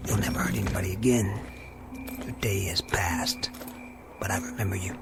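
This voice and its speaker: Gravelly american cowboy, slow and proud but kinda uneasy, old tv soundtrack w music behind. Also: male voice, deep voice, steady tone. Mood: uneasy bravado. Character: proud, wary Old West gunman, secretly uneasy. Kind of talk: old television western drama acting. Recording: vintage TV soundtrack with music underneath.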